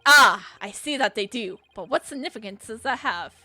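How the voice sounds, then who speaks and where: A woman reading the lines of a cool, haughty male prosecutor from a courtroom game, in a measured, cutting way. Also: on the high side, expressive, sharp tone, dry recording, soft background music, heavily acted. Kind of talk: reading game dialogue aloud.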